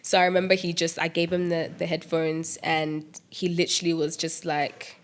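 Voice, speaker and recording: A young woman talking about her music in a relaxed interview, her voice sitting a little low. She gets animated and laughs often, with plenty of "like" and "um" between thoughts. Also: normal speed. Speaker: musician and producer guest. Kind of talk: casual interview chat.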